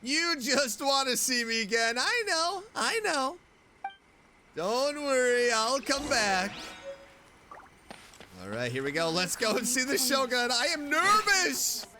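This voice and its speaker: A streamer giving casual commentary while playing a game, excitable and joking, chatting back at the characters. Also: high-pitched, slow, slight background noise. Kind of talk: casual let's-play commentary. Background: game music.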